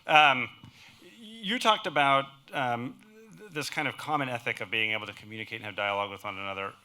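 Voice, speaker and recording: A man moderating a panel discussion and taking turns with the speakers, friendly and casual, with quick asides. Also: medium pitch, sharp tone. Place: conference panel before an audience.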